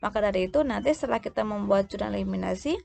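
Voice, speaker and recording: A woman with an Indonesian accent giving a recorded lesson step by step, in a halting way that often breaks off and restarts words. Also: mid-range voice, creaky, dark tone. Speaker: accounting lecturer. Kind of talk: recorded lecture, explaining step by step.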